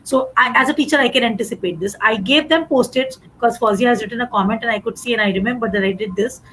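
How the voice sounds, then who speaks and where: A woman teacher tells classroom stories in a lively, expressive way, her voice sitting a little high. Her is Gujarati, and she often pauses on "you know" and "uh". Faint noise hums under the recording. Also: low-quality recording. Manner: animated, expressive storytelling with many fillers. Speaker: English teacher. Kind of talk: conversational panel discussion.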